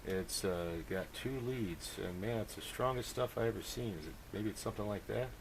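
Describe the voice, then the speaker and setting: A man's voice: lowish voice, moderate pace, a crowd in the background. A man chatting casually and technically as an amateur radio operator on two-way radio, thinking aloud as he goes.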